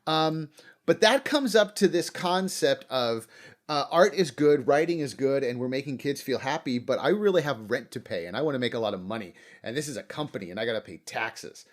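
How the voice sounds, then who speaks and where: A man's voice: medium pitch, expressive, fairly quick. A middle-aged man hosting a podcast, talking casually and acting out imagined reactions as he tells stories. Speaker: podcast host. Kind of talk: casual interview chat.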